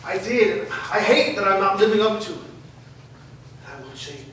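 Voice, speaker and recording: A man's voice: high voice, expressive, normal speed, fairly bright tone. A man playing an adult live-in boyfriend with a drinking habit in a staged drama reading. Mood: apologetic. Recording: echoey room, distant mic, clear noise.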